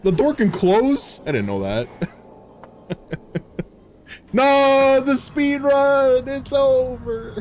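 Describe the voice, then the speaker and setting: A man in his thirties, a game streamer giving casual, excitable, jokey commentary while playing and laughing often. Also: very high-pitched, extremely slow, pauses often, slight background noise. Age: 30s. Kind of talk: casual live gameplay commentary.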